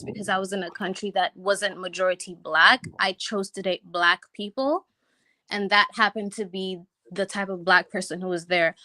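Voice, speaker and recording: A woman's voice: medium pitch, sharp tone. A young Kenyan woman calling in to a chat show, talking casually with many ums and emphatic asides. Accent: Kenyan, sounding American to listeners. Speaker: caller.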